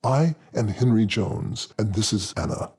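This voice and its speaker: A man voicing a solemn, welcoming elderly man in an audiobook, speaking deliberately in a raspy, gravelly voice. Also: lowish voice, animated, darkish tone, full character voice. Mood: warm, welcoming. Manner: deliberate, measured. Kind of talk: audiobook character voice.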